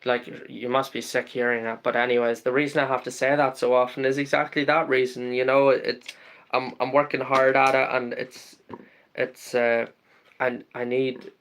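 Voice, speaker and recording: A man's voice: mid-range voice, little pitch movement, moderate pace, a bit bright. A man from the UK hosting a casual live stream, greeting viewers by name and rambling with lots of ums and uhs.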